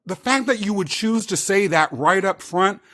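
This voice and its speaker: A man's voice: high-pitched, animated, normal speed, a bit bright. A man hosting a podcast interview with an upbeat, effusive manner. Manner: upbeat, effusive, laughs easily. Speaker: podcast host.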